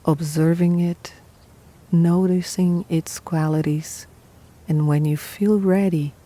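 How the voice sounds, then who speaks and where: A woman leads a guided meditation in a low, mellow voice. She is calm and soothing, speaking very slowly in short phrases with pauses between them. Soft music plays behind her. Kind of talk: guided meditation, scripted narration.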